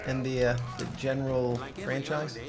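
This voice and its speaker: A man's voice: mid-range voice, level tone, normal speed. A man chatting casually and explaining things as he plays and comments on a video game. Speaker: gaming commentator, co-op player. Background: game music.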